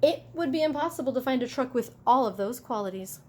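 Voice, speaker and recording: A woman teacher reading a picture book aloud to children, chatty and animated, with casual asides about the pictures. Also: sits high, quick pace.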